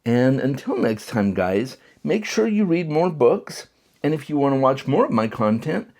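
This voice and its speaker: A man's voice: mid-range voice, very animated, moderate pace, darkish tone. A man giving a casual, enthusiastic book review, talking conversationally and sometimes restarting his sentences. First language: English.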